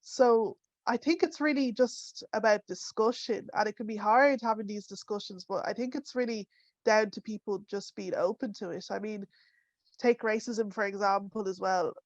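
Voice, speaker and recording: A woman's voice: medium pitch, normal speed, dry recording. A young woman speaks as a panelist in an online discussion, chatty and direct. Her voice sounds dry and slightly muffled. She sounds like she is on a video call from home. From Ireland. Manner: conversational, with many "you know" fillers. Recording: dull, dry home audio.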